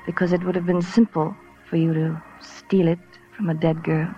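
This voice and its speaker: An actress playing a sultry, teasing femme fatale professor in 1940s radio noir, languid and insinuating. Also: female voice, fairly low pitch, little pitch movement, normal speed, warm tone, lightly acted. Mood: seductive, needling. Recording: muffled old radio recording with music.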